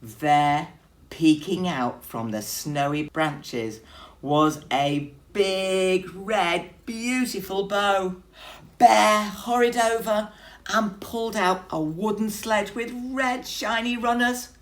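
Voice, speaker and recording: A woman's voice: mid-range voice, slow. A woman teacher reading a story expressively to young children.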